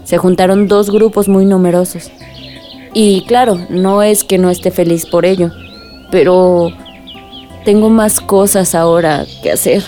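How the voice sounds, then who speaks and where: Actriz como joven preocupada, voz suave y cálida. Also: female voice, fairly low pitch, fairly even intonation, measured pace, dark tone, faint music behind, natural voice. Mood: troubled, weary. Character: troubled, weary young village woman, caring and independent. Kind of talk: radio drama acting.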